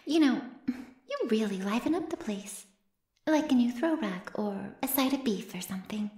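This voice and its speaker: A woman's voice: on the high side, lively intonation, moderate pace, mellow. A woman playing an ancient, smugly teasing female vampire in an intimate ASMR roleplay, murmuring coyly and often slipping into a whisper. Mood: wry, casual. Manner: coy, breathy murmurs that often drop to a whisper. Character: ancient predatory female vampire, playfully menacing, smugly teasing her captive. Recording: roomy cave-like echo.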